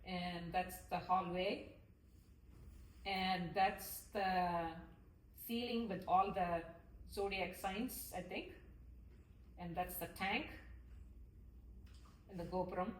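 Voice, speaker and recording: A woman giving a lecture read from notes, halting now and then over longer terms. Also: on the low side. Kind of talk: lecture read from notes with some informal asides. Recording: echoey room, distant mic, faint noise.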